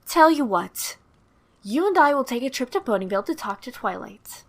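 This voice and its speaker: Casting: woman to play caring, cautious pony royal mom for cartoon audio drama; slightly high register, animated intonation. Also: full character voice. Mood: friendly. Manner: gentle, motherly, measured.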